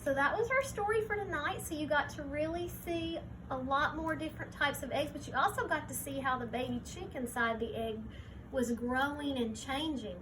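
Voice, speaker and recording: A woman teacher reading a picture book aloud to young children, pausing to explain things simply and point them out. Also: high voice, background noise.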